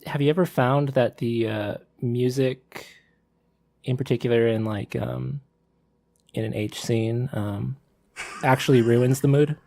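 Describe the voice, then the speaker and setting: A man's voice: medium pitch, steady tone, a little slow, gravelly, a little mellow, no room sound. A man co-hosting a podcast in casual chat, hesitant with many fillers. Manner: hesitant, many "uh" and "um", asks questions. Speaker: podcast co-host.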